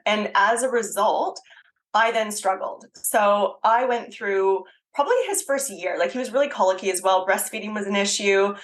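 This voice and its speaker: A woman in her thirties speaks with a Canadian accent that has picked up an Australian edge. She talks loosely and openly, full of fillers and quick laughs. Her pitch sits in an ordinary middle range. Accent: Canadian with some Australian. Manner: chatty, frequent ums and likes, laughs easily. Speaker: guest, fitness coach. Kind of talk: casual conversational interview.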